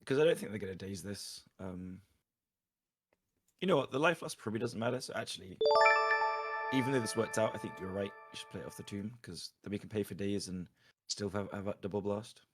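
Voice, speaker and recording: A man with a slightly husky, tiring voice gives casual live game commentary, thinking aloud in an analytical way. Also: fairly low pitch, fairly quick, faint music behind. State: tired voice going hoarse. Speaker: guest player coaching a card game stream.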